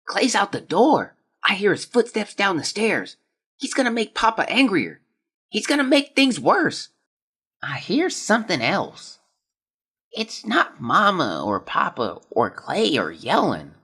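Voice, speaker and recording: An actor playing a sick, frightened young child reading out a diary in a plaintive, hesitant, husky voice. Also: mid-range voice, big pitch swings, measured pace, bright, forceful tone, heavily acted. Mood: fearful, awed. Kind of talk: dramatic diary monologue.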